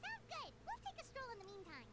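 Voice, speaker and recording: A woman's voice: very high voice, huge pitch swings, a little fast, heavy background noise, full character voice. A woman voicing a tiny, chirpy, childlike fairy companion in a video game, eager in manner. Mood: cheerful. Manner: speaks of self in third person.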